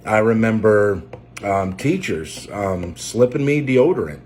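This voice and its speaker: A man in his forties from Maine with a Maine accent, telling his life story unscripted, halting and close to tears. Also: on the low side, lively intonation, a little mellow, soft background music. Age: 40s. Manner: halting, many fillers and restarts. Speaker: trucker and preacher. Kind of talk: unscripted personal testimony.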